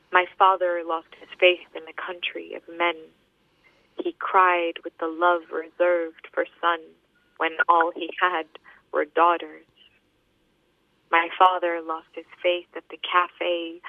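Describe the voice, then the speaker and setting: A woman poet in her thirties from Australia talks over a tinny, phone-like line, her voice held in a narrow pitch range. She answers with hesitant fillers and reads her poems steadily. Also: dry recording. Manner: hesitant, many fillers and restarts in talk; steady, measured recitation when reading poems. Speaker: poet, interview guest. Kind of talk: interview answers and poetry reading.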